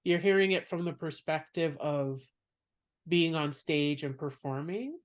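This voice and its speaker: Soft-voiced man, a comedian guest. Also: fairly high pitch, unhurried, clear, resonant tone. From Canada. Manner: reflective, explains at length. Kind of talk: casual interview chat.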